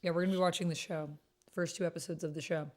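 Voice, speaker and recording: A woman's voice: fairly low pitch, fairly even intonation, racing. A woman hosting a livestream, chatting casually and informally with her viewers. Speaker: livestream host.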